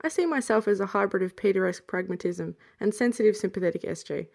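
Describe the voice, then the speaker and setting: Fast-talking Australian woman, gravelly. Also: medium pitch, darkish tone. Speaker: listener recording an outro.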